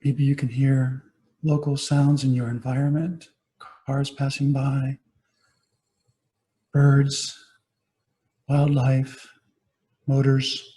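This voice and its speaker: A man guiding a meditation as a teacher, giving gentle, unhurried instructions with pauses between phrases. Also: medium pitch, completely flat delivery, low-quality recording. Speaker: meditation teacher, Buddhist monastic. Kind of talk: guided body scan meditation.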